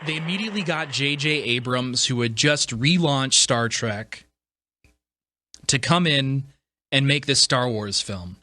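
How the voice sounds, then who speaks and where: Bright-voiced man hosting a podcast. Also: medium pitch, animated, a little slow. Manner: emphatic, repeats phrases for stress. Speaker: podcast host. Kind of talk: casual opinionated podcast monologue.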